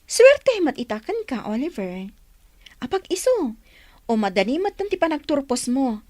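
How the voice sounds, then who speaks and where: An actress playing a chatty, supportive, teasing friend in a radio drama, full of exclamations. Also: female voice, sits high, very animated, unhurried, a little mellow, dry recording, studio quality. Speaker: voice actor in Ilocano radio drama.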